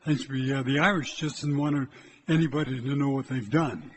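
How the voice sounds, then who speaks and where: An older man, a priest, giving a loud, plain-spoken exhortation from the pulpit of a church. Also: normal speed, a little hiss or hum. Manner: loud, hard time keeping his voice low. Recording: echoey church from a distance.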